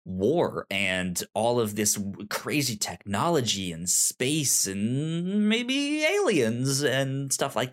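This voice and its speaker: Male podcast host, lowish voice, stuttering and rambling, casual recommendation chat with enthusiasm. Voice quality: stutters, repeating syllables and words.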